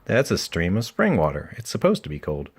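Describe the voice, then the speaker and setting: A man's voice: low-pitched, wildly swooping pitch, brisk, breathy edge, heavily acted. A man voicing a friendly young male raccoon in a children's storybook, cheerfully explaining things as a guide. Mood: cheerful, amused.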